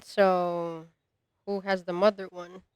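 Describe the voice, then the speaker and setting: A woman's voice: fairly low pitch, flat delivery, takes it slowly, clear, resonant tone, dry recording. A woman live-streaming a game, tossing in casual, amused and teasing commentary.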